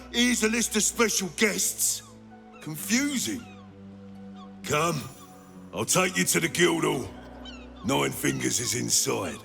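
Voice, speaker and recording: Curt, menacing guild guard, slow with a wide pitch swing, music bed behind. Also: male voice, creaky, sharp tone, full character voice. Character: gruff male guild guard Tuskron, surly. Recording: game audio with music behind.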